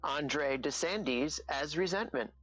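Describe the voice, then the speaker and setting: A woman's voice: deep voice, a little slow, muffled recording, music in the background. A woman reading out cast credits as a scripted announcement.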